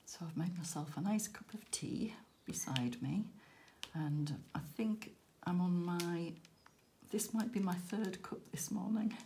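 Croaky, tired woman chatting. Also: fairly low pitch, a little slow, mellow, a little hiss or hum. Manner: rambling, self-deprecating, laughing often. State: croaky, tired after a late night. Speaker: crafting channel host. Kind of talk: chatty crafting vlog. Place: indoors at a crafting table.

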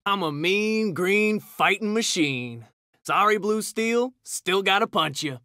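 Bright, cocky New turtle brawler. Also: male voice, high-pitched, animated, slow, no echo, studio quality, heavily acted. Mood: cocky, taunting. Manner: brash, slangy trash talk. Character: cocky hot-tempered young male mutant ninja turtle brawler. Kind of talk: video game fighter taunts.

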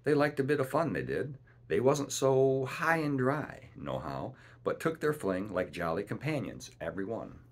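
A man's voice: mid-range voice, sing-song, moderate pace. Man doing a growling, impatient pirate coxswain. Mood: defiant. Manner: grumbling, rustic seafaring speech.

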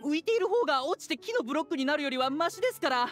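A woman's voice: high-pitched, lively intonation, rapid-fire. A woman voicing a flustered, polite, enchanted floating character in a video game, fretful and formal, with music behind. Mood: flustered, urgent. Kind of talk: Japanese video game voice acting.

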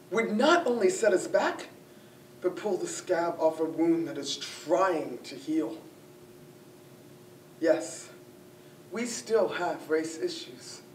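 Casting: actress as a principled, emphatic speechmaker, slow and deliberate, mid-pitched, in a distant echoey recording with faint rumble. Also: female voice. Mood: firm, measured. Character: earnest, resolute woman arguing to bury the past. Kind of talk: formal persuasive speech as stage monologue. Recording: echoey room, distant mic, rumbling noise.

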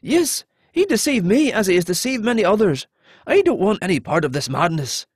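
A man's voice: high-pitched, very animated, normal speed, slightly rough, no room sound, clean studio sound, pitched above the performer's own voice, exaggerated voice. A man voicing a frightened, remorseful male deserter in an audiobook, earnest and pleading. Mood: earnest, anxious.

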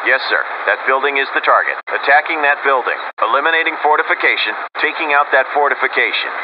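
An actor playing a dutiful anti-air vehicle soldier in a video game, reporting in clipped, formal military phrases over radio. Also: male voice, mid-range voice, lively intonation, quick pace. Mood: businesslike, alert. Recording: muffled radio with loud vehicle noise.

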